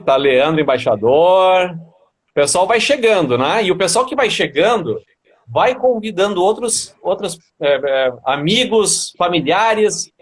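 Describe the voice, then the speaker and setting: A man hosting a motivational live stream, welcoming viewers by name and interviewing his guest. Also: sits high. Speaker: host.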